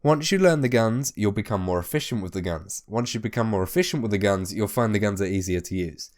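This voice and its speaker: Low, smooth-voiced man, a little hoarse. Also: a little fast, darkish tone. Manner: chatty, repetitive, swears casually. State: hoarse, recovering from losing his voice. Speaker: gaming video host. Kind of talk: casual spoken game tips.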